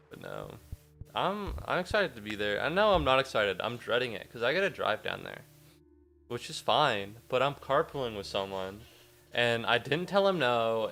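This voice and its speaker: A young American man, a little sniffly after a cold, chatting in a rambling, self-mocking way to his viewers during a casual game livestream. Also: mid-range voice. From United States. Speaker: game streamer. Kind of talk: casual livestream chat while gaming.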